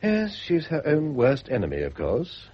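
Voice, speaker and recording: An actor playing a cynical, witty, well-off British husband in a radio drama, speaking with urbane irony. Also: male voice, mid-range voice, huge pitch swings, moderate pace, darkish tone, slightly rough. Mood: cynical, philosophising. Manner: urbane, ironic, epigrammatic. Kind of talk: classic radio drama acting. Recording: muffled, noisy old radio recording.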